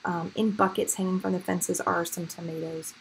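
Chatty woman with a crackly, lowish voice. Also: flat delivery, normal speed, vocal fry. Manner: upbeat, chatty, addresses viewers directly. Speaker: host of a home gardening vlog. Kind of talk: casual handheld garden tour narration. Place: outdoors in a backyard garden in the evening. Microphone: handheld camera or phone. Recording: outdoor handheld audio with background noise. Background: faint outdoor ambient noise.

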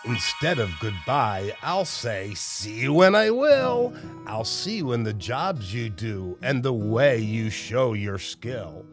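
Slow, expressive man reading a picture book. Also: medium pitch. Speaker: storybook reader. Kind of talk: expressive children's picture book read-aloud. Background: plucked guitar music.

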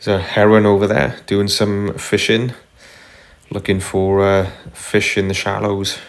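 A man narrating casually as he paddles a kayak on a river, unhurried, with frequent ums and uhs. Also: lowish voice, little pitch movement. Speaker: kayaker vlogger. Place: kayak on a tidal river outdoors. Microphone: camera mounted on the kayak.

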